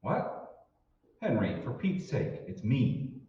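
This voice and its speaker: A man's voice: medium pitch, wildly swooping pitch, takes it slowly, mellow. An actor playing an everyman office accountant in an old-time radio drama. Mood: bewildered. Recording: very echoey hall, distant mic, muffled.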